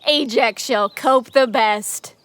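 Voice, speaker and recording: A woman playing a resolute, stately war commander, declaiming measured verse in a Shakespearean audio drama. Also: on the high side, a bit bright.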